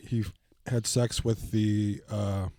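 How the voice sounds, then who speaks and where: A man co-hosting a casual podcast with a husky voice, chiming in with dry, deadpan one-liners. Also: on the low side, very slow, slight background noise. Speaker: podcast co-host.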